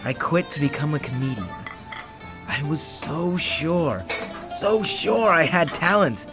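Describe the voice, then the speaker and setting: A man's voice: fairly high pitch, animated, takes it slowly. Man playing a nervous, stammering failed comedian. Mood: rueful. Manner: hesitant, stammering, anxious. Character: nervous, hapless young male failed comedian. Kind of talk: radio drama acting. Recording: prominent music bed behind voice.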